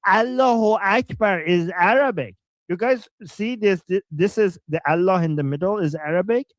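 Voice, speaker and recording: A man's voice: sits high, normal speed, no echo. A man co-hosting a live stream, ranting with mocking insults as he comments on a video. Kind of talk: casual livestream commentary.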